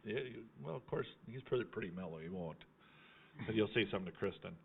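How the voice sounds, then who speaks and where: Man, low-pitched voice, casual live host, folksy, teasing, tinny phone-grade sound. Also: lively intonation, fast talker, vocal fry, slight background noise. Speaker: host of a fundraiser livestream.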